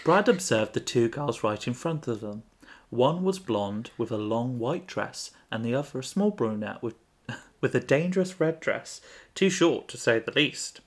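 A man's voice: medium pitch, lively intonation, unhurried. A man reads his old story aloud on a comedy podcast, his voice rising and falling a lot. He often breaks into laughter at his own writing, then chats with his co-host before reading on.

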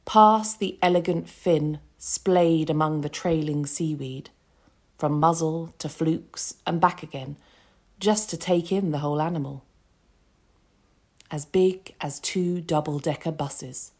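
A woman reading an excerpt from her own novel in a measured, deliberate way. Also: deep voice, darkish tone. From England.

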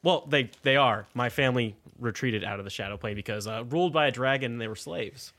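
Casual male tabletop player, mid-pitched, rambling explainer. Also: fairly quick, a bit bright. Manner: explains with restarts and hedging fillers. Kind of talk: casual out-of-character chat.

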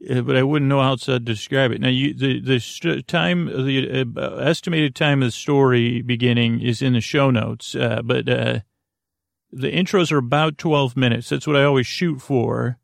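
A man hosting a bedtime podcast, with a creaky, dulcet voice, rambling and stumbling off topic with many fillers. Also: mid-range voice, fairly even intonation, moderate pace, no echo, clean studio sound. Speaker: sleep podcast host. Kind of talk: meandering bedtime podcast intro.

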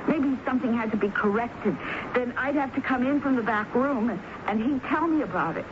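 A woman plays a nervous, self-effacing typist in an old radio drama. She speaks in halting, guarded phrases, repeating words timidly. The broadcast recording is muffled with clear noise. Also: fairly high pitch, lightly acted. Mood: nervous, modest. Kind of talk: 1970s radio drama acting.

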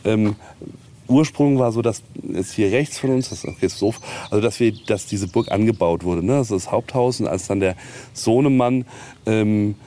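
A man's voice: fairly low pitch, normal speed, dark tone. A man guiding an informal castle tour outdoors, explaining history in a hesitant way with many ums. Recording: noisy outdoor location recording.

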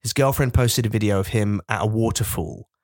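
A man's voice: fairly low pitch, fairly quick, darkish tone, dry recording, studio quality. A man co-hosting a podcast, joking and rambling in casual chat. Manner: joking, rambling, mock-ignorant. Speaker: podcast co-host.

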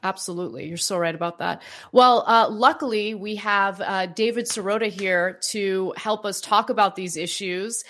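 A woman's voice: mid-range voice, normal speed, few pauses, fairly bright tone. A woman who grew up in Los Angeles with Armenian as her first language, hosting a show and reflecting with hesitant fillers. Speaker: host. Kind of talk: casual chat.

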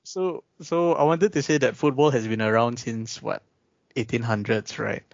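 A man talking through ideas in a casual discussion, measured and pausing often with ums. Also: mid-range voice, steady tone, vocal fry, no echo. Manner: measured, thinking aloud with many ums. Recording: dull dry recording.

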